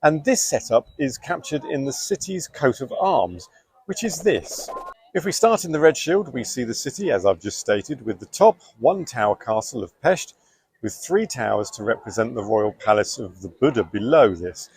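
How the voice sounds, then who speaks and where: A man's voice: medium pitch, very animated, normal speed, darkish tone, slightly rough, rough recording. A man hosting a video, narrating a script with a playful, jokey delivery. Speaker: video host.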